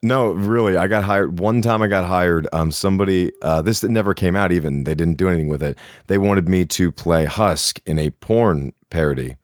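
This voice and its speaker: A man with a moderately deep voice, a guest voice actor chatting casually on a podcast in a self-deprecating, joking way. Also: normal speed, hardly any pauses, dry recording, clean studio sound. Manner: self-deprecating, joking, with frequent restarts and fillers.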